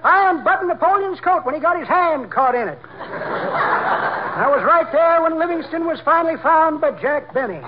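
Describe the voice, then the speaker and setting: A folksy old codger in an old radio comedy, pitched extremely high. He rambles through boastful tall tales in rough country grammar. The recording is dull, with faint noise. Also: expressive, normal speed. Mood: folksy boasting. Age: older. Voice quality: high, aged-sounding character voice. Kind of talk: 1940s radio comedy acting.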